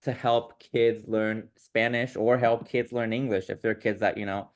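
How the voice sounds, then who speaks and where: A man's voice: fairly low pitch, flat delivery, unhurried. A man guest on a podcast, talking casually and enthusiastically, with many fillers. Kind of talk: casual interview chat.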